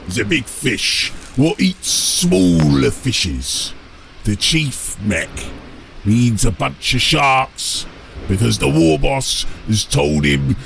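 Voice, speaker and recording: A man voicing a gruff ork submarine captain in an audiobook, gravelly and bellowing like a drill sergeant with rough grammar. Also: mid-range voice, a bit bright, a little hiss or hum, pitched above the performer's own voice, exaggerated voice. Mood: explaining. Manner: drawn-out, emphatic bellowed delivery with rough grammar.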